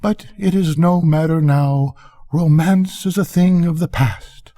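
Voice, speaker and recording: A man's voice: fairly high pitch, measured pace, warm tone, pitched above the performer's own voice, close to an everyday voice. A pensive, heartbroken lady firework from a storybook, put on by an older man. The voice is raised well above his own and sounds wistful and self-dramatizing. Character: pensive, lovelorn female-styled Catherine Wheel firework, proudly brokenhearted.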